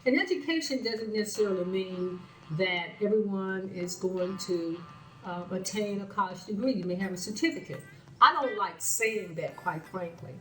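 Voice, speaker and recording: A woman's voice: medium pitch, moderate pace, sharp tone. A woman hosts her show, speaking earnestly and repeating phrases for emphasis. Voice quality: slightly raspy. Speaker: show host. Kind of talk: spoken commentary to camera. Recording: roomy sound from a distant mic with faint music.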